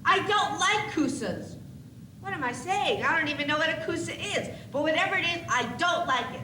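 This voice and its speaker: A woman's voice: high-pitched, animated, a little fast, sharp tone. A young woman playing a grouchy, sarcastic baby doll boy in an amateur stage comedy, complaining sardonically. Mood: agitated complaining. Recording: echoey far-off stage, noisy old videotape.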